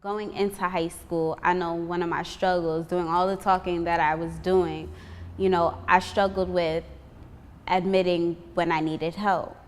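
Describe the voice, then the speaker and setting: Casting: teen girl, low flat voice, steady, reverberant room. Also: measured pace. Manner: steady, fills with "you know". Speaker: high school student. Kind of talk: interview answer.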